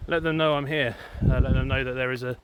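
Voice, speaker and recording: A man's voice: medium pitch, quick pace, clear, resonant tone, highs cut off. A man from England chatting casually while walking outdoors, rambling with lots of fillers and a bit out of breath. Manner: rambling, relaxed, many fillers. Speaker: vlogger. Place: outdoors in windy countryside. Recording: loud wind noise on outdoor camera mic.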